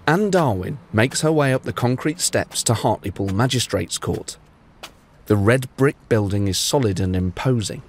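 A man's voice: medium pitch, expressive, moderate pace, a little hiss or hum. A man narrating a true-crime story as podcast host, with dry, wry asides. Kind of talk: scripted storytelling narration with banter.

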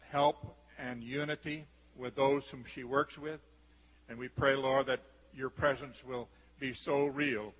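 An older man, a pastor, leading a solemn, measured prayer before a congregation. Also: medium pitch, fairly bright tone. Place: large church sanctuary stage. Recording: lo-fi camcorder recording in a reverberant hall.

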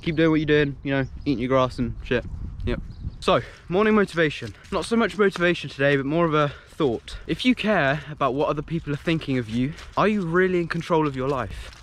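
A man's voice: on the high side, lively intonation, brisk, a little hiss or hum. Joking young man vlogging in the fields. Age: 20s. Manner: joking, playful, talks straight to the camera. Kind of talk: casual vlog chat to camera. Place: outdoors in open fields. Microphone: handheld action camera at arm's length.

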